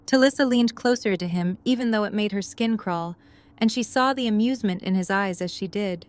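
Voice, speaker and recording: A woman's voice: mid-range voice, fairly quick. A woman reading scripted third-person story narration for an audio drama. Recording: faint background noise and ambience.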